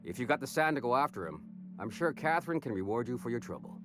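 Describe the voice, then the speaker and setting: An actor playing a wounded, wary smuggler in a video game, with a folksy drawl and short breath. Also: male voice, mid-range voice, expressive, fast, loud music behind the voice, close to an everyday voice. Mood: weary, helpful. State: winded from a wound. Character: wounded, wary, gritty frontier smuggler man.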